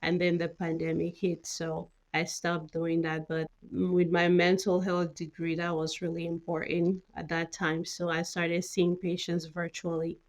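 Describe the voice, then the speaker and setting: A woman's voice: fairly low pitch, completely flat delivery, measured pace, hardly any pauses. A middle-aged woman from Cameroon, talking informally as an interview guest with plenty of conversational filler phrases. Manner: informal, peppers talk with "you know". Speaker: guest, mental health nurse practitioner.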